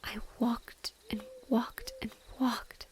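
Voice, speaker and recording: Woman acting as a sorrowful female banshee, breathy whisper, higher-pitched, tender and devoted, intimate audio drama. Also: big pitch swings, a little mellow, full character voice. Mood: grave, wistful. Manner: slow, tender, mournful.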